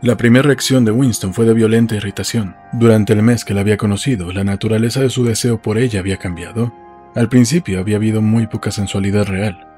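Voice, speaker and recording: A man's voice: on the low side, fairly even intonation, quick pace, dark tone. A man narrating a novel as a scripted literary audiobook. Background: faint music.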